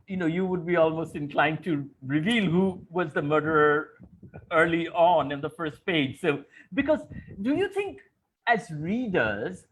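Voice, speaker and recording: Articulate man moderating, slightly higher voice. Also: a bit bright, a little hiss or hum, low-quality recording. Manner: articulate, explanatory, builds long questions. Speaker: interviewer and moderator. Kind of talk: literary conversation, thoughtful questioning.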